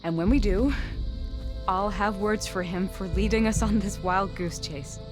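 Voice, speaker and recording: A woman's voice: medium pitch, measured pace, lightly acted. An actress playing a proud young female hunter in a video game, speaking earnestly with spirited bursts. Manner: earnest and wistful, with spirited outbursts. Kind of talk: video game cutscene voice acting. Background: game music score.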